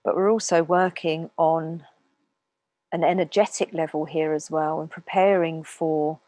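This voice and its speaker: A woman speaking as a meditation teacher, calmly guiding listeners in an unhurried way with long pauses. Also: low voice, no echo. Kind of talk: guided meditation talk.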